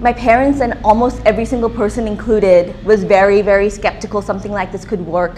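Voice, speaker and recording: A woman's voice: medium pitch, fairly even intonation, quick pace, a little mellow, a little hiss or hum, low-quality recording. A young woman from Australia, answering interview questions casually with frequent ums and restarts. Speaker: business owner, interviewee.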